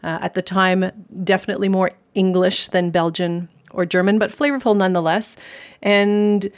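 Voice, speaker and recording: A woman's voice: medium pitch, steady tone, moderate pace. A Canadian woman in her forties, a beer specialist talking as a guest on a podcast, chatty and thoughtful with many fillers. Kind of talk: podcast interview.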